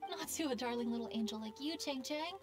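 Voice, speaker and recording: A woman voicing a kind lantern maker in a game cutscene, gentle and reassuring, with game music behind. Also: high-pitched, a little fast, lightly acted.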